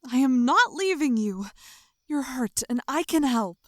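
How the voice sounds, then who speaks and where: Young princess, audio drama, female actor: lightly high, dry recording, reassuring, earnest, steadfast. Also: very animated, measured pace, fairly bright tone, dry recording, full character voice. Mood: determined.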